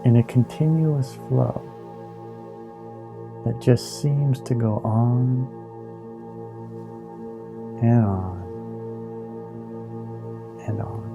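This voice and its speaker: A man leading a guided meditation in a slow, soothing, hypnotic manner, with long pauses between short phrases. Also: medium pitch, fairly even intonation, creaky. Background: ambient new-age music with singing bowls.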